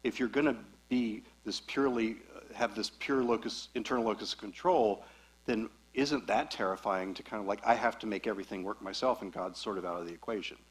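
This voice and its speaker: A man speaking as a guest psychologist at a church talk, explaining concepts clearly and patiently. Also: fairly low pitch, fairly quick, low-quality recording.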